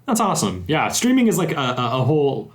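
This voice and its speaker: A man's voice: mid-range voice, huge pitch swings, a little fast. A man hosting a podcast, chatting casually with a guest and encouraging her with loose fillers. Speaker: podcast host. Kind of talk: casual interview chat.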